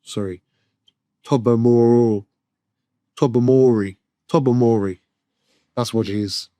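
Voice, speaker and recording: A man's voice: mid-range voice, fairly even intonation, a little slow, mellow, dry recording. A man casually vlogging from an eyewear shop, chatting about a client and stumbling over product names. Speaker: optician vlogger.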